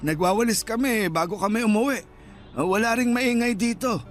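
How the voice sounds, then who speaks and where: A man's voice: sits high, fairly quick, soft background music, lightly acted. A man in a Filipino radio drama playing a shy, grumbling street vendor aged sixty-five. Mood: mild, friendly. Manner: plaintive, grumbling.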